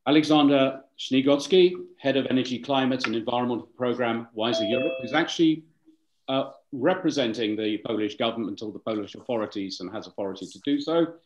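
A man's voice: medium pitch, highs cut off. Male webinar moderator, formal, some restarts, video call sound. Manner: formal, halting, with restarts and fillers. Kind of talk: formal welcome and introductions.

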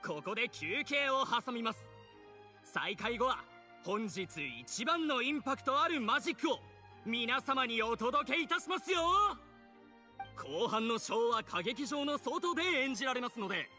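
Bright, high-pitched showy stage announcer. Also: male voice, expressive, moderate pace, heavily acted. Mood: cheerful, showy. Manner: theatrical, formal announcing. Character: showy, polite male theatre master of ceremonies. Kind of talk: Japanese anime game voice acting. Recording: faint music behind the voice.

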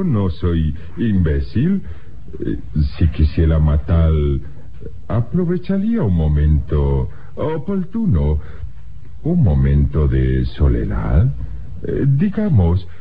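An actor playing a sly, mocking knife-throwing villain in a classic radio drama, speaking halting, broken Spanish. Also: male voice, a bass voice, huge pitch swings, full character voice. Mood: sly menace. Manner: halting broken Spanish, hesitant filler sounds. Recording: muffled, noisy old radio recording.

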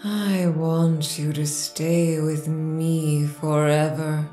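An otherworldly seductress in a horror audio drama, possessive and demanding. Her voice is low and very slow, drenched in echo. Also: flat delivery, dark tone. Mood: possessive longing. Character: Lyra, possessive seductive enchanting otherworldly woman in a cabinet. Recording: echoey voice over faint music.

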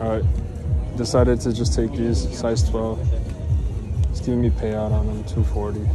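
A young man vlogging casually while shopping a flea market, chatting with sellers in relaxed slang, recorded on a body-worn camera. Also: lowish voice, normal speed, over music. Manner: laid-back slangy banter. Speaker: vlogger and reseller. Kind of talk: casual vlog chat. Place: outdoor flea market and parked car.

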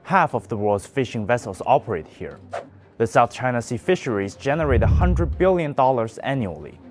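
A man's voice: mid-range voice, expressive, normal speed, faint music behind. A young man presenting a scripted news commentary with an emphatic, pointed delivery.

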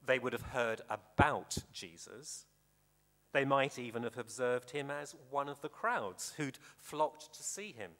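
Animated male preacher in a reverberant hall. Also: medium pitch. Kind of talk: sermon with scripture reading. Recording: reverberant hall, long echo.

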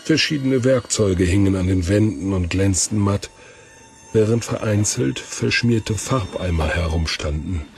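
A man's voice: on the low side, unhurried, creaky, a little mellow. A man delivering scripted narration for an audio drama.

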